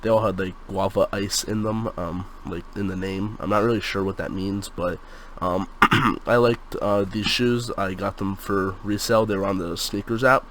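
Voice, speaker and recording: A man's voice: low-pitched, steady tone, moderate pace, fairly bright tone, a little hiss or hum. A young man with a cold and a congested voice, casually chatting through a sneaker unboxing, filling his talk with um and like. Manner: rambling, lots of fillers like um and like.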